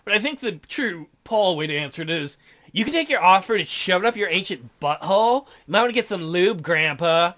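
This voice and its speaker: A man's voice: sits high, lively intonation, fairly quick, fairly bright tone. A man doing a let's play of a visual novel, reading it out and throwing in irreverent, crude wisecracks. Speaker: let's player.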